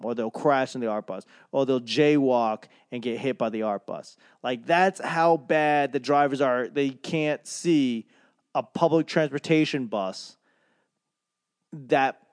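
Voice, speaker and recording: A young comedian from Boston giving a casual, profane, rambling rant in a solo monologue. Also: male voice, mid-range voice, a little mellow, dry recording, studio quality. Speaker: comedian and podcaster.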